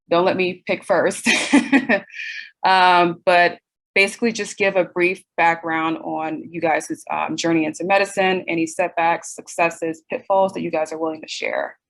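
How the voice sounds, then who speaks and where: A woman with a gravelly, fairly low voice moderates a panel over a video call. She talks casually and a bit hesitantly. She puts questions to the panelists.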